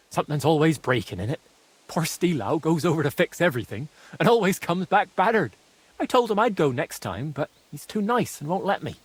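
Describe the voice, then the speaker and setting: Naive young apprentice, husky and animated. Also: male voice, on the high side, dry recording. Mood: earnest. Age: young adult. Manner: artless, hesitant when pressed. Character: naive, simple-hearted young craftsman's apprentice. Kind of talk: video game dialogue acting.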